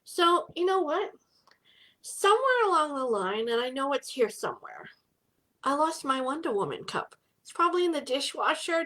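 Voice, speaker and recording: A woman's voice: sits high, sharp tone, low-quality recording. A middle-aged woman hosting a casual live business chat from home, bubbly and greeting viewers by name.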